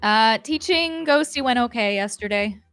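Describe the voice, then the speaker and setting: A woman's voice: fairly high pitch, lively intonation, normal speed, fairly bright tone, dry recording, soft background music. A woman co-hosting a game stream in casual chat, playful and giggly, with wry quips.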